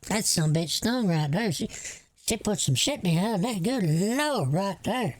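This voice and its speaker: A woman's voice: mid-range voice, lively intonation, unhurried. A woman playing a rambling, crude, folksy older woman with a Southern US accent on an improvised prank phone call. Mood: exasperated, swearing.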